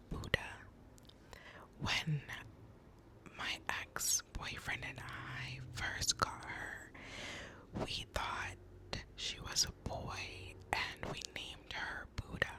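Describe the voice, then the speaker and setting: A young woman from Boston whose first language is Spanish, telling personal facts in a slow, soft ASMR whisper. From United States (Boston). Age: young adult. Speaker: ASMR creator.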